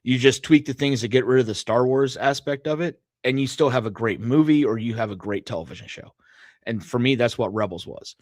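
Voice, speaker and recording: A man's voice: medium pitch, a little fast, dry recording, clean studio sound. A man co-hosting a podcast in a casual, conversational way. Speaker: podcast co-host. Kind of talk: casual chat.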